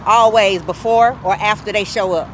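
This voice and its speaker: Lady talking into her phone on a street walk, earnest and steady, faint traffic behind. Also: mid-range voice, normal speed. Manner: earnest, steady, recounting grievances. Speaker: vlogger. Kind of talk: walking tour vlog, personal account. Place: outdoors on city streets. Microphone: phone camera held while walking. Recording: faint street noise outdoors.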